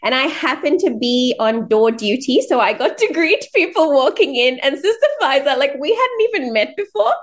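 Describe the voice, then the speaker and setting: A woman's voice: high-pitched, lively intonation, normal speed, hardly any pauses. A woman from Sri Lanka living in Australia, hosting a podcast in a chatty, effusive, conversational way. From born in Sri Lanka, moved to Australia at eighteen. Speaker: podcast host. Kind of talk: conversational interview, anecdotal chat.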